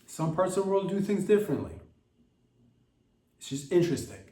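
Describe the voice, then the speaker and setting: A man's voice: high voice, animated, moderate pace, dark tone. A man hosting a comedy podcast, rambling through a loose monologue full of restarts and asides. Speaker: podcast host. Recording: roomy, distant from mic.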